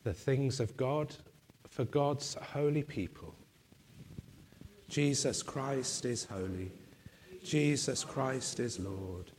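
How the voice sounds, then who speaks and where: A man leading communion in a church, reciting liturgical prayers in a slow, solemn, measured way, his voice going. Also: medium pitch, a little mellow, slight background noise. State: losing his voice.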